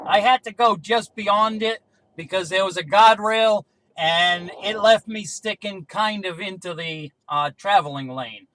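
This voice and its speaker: A man with a high-pitched, bright voice works a CB radio. He talks in casual two-way radio style, checking in as an operator would. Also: measured pace, dry recording, low-quality recording. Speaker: CB radio operator testing range from a car.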